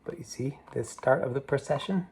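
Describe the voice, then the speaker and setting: A middle-aged man reading a picture book aloud to children, gentle and unhurried, stopping to show the pictures. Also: medium pitch, gravelly, a little hiss or hum. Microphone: camera mic, moving toward it to show pages.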